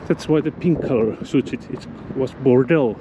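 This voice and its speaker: A man with a Finnish accent, a Finnish native speaker narrating his exploration vlog outdoors, halting as he searches for English words. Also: mid-range voice, highs cut off. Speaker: urban explorer. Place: outdoors at an abandoned mansion. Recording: loud wind noise on the mic.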